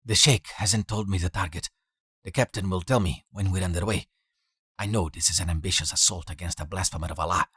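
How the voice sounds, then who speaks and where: A man's voice: low voice, brisk, a bit bright, husky, no room sound, clean studio sound. A man reading an audiobook in a theatrical style, acting out different characters. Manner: theatrical, shifting between many characters. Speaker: audiobook narrator demonstrating character voices.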